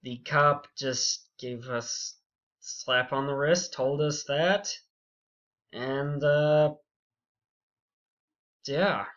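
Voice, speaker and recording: Slow, halting young man, muffled audio. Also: mid-range voice, a bit bright. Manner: rambling, halting, full of asides. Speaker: YouTube storyteller. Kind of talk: casual unscripted storytelling.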